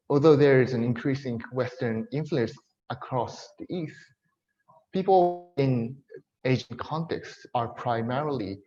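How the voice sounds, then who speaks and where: A man's voice: medium pitch, a little mellow, muffled recording. A man from Japan giving a friendly, joking talk to a church gathering, with a Japanese accent mixed with American and British tones and frequent fillers. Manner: friendly, with many fillers and self-deprecating jokes. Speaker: speaker at a church event.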